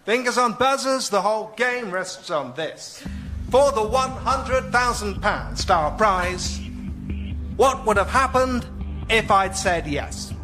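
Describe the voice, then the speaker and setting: An actor playing an excitable quiz show host in a radio comedy sketch, with an emphatic presenter delivery and a desperate edge. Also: male voice, high-pitched, takes it slowly, music in the background. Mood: pleading, insistent. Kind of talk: radio comedy parody of game show hosting.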